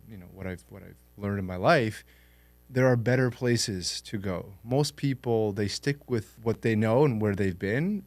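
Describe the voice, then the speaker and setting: A young man born in Lebanon and raised in Canada, telling his life story in a relaxed, reflective way as a podcast guest. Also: lowish voice, no echo. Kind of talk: interview storytelling.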